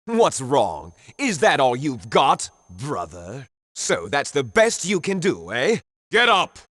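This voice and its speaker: A man's voice: mid-range voice, huge pitch swings, a bit bright, no echo, studio quality, heavily acted. An actor playing a sneering male video game villain who mocks and goads his opponent. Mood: sneering, goading. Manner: mocking, drawn-out goading. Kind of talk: dramatic video game voice acting.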